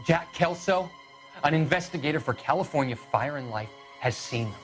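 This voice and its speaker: An actor playing an earnest, troubled young medical student who talks with urgency in a video game cutscene. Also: male voice, a little high, expressive, normal speed, soft background music, lightly acted. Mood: troubled, doubtful. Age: young adult.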